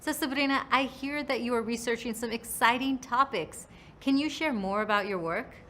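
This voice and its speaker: A woman's voice: fairly high pitch, normal speed, a bit bright, slight background noise. A woman hosting a live science show, giving a scripted bilingual introduction and then interviewing a guest. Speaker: host.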